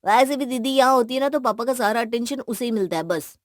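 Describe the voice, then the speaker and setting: Woman as bride's little brother, fairly high voice, cheeky, clingy, whiny, audio drama. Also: brisk, no echo, studio quality, close to an everyday voice. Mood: teasing.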